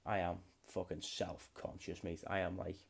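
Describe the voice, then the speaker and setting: A man's voice: sits low, little pitch movement, normal speed, gravelly, breathy edge. A man in his late twenties, hosting a podcast alone in a rambling, swear-heavy casual chat. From United Kingdom. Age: late 20s. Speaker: podcast host.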